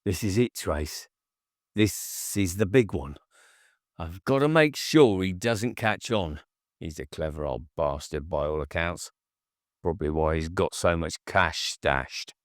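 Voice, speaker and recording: A controlling, short-tempered man played by an audiobook reader speaks in a low voice, coaxing one moment and hard and dismissive the next. His menace sits under every line. The recording is dry and close. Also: animated, a little slow, no echo. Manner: cajoling then hard and dismissive. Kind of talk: audiobook character voice.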